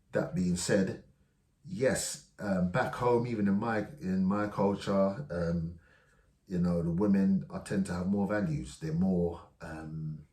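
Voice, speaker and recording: A man hosting a vlog, commenting casually with hesitant pauses and many fillers. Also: low voice, mellow. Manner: hesitant, many ums and restarts. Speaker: vlog host reacting to clips. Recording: echoey room, voice from far off.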